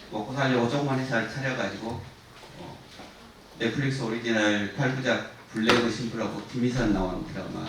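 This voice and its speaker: A man in his sixties giving an informal guest lecture to students, frank and self-deprecating, with many fillers. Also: mid-range voice. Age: 60s. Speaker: production company head giving a guest lecture. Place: university lecture hall. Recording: distant mic in an echoey lecture hall with noise.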